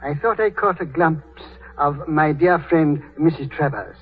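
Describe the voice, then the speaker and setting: An actor playing a shrewd, courtly older British statesman with dry wit, husky and theatrical, in 1930s radio drama style. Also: a little high. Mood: secretive, eager. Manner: urbane, theatrical, wryly deliberate. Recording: muffled 1930s radio recording, faint music.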